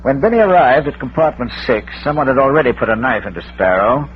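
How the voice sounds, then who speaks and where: A man's voice: medium pitch, lively intonation, fast talker, close to an everyday voice. A man playing an erudite, droll schoolmaster sleuth in 1940s radio drama style, wordy and theatrical. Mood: confident, methodical. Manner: urbane, wordy, theatrical, dry wit. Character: erudite, droll bearded schoolmaster and amateur detective. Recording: muffled, hissy vintage radio recording.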